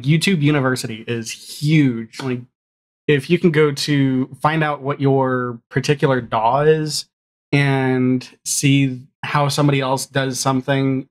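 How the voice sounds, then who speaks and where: Casual, jokey middle-aged man, medium pitch. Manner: jokey, self-deprecating. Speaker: guest, audio drama creator and podcaster. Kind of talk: casual chat. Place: small room indoors, video call.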